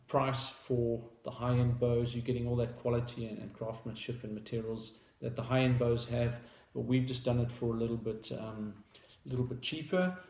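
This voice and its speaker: A man's voice: medium pitch, steady tone, normal speed, hardly any pauses, darkish tone, roomy sound. A man in his thirties with a South African accent, a company founder explaining a product in casual talk to camera, hesitant with many fillers and restarts. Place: small indoor room at a table.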